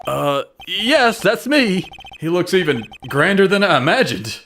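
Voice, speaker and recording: A man's voice: sits high, big pitch swings, unhurried, sharp tone, slight background noise, heavily acted. A man voicing an earnest young defense lawyer in a video game fan dub, hesitant, with dry, exasperated asides. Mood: hesitant, wry.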